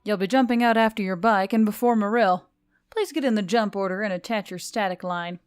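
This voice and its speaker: A woman's voice: medium pitch, brisk, smooth, lightly acted. Woman playing brash, teasing demon airwoman. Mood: brisk, commanding. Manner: bossy, taunting, emphatic. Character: teasing, brash female oni demon aircrew member.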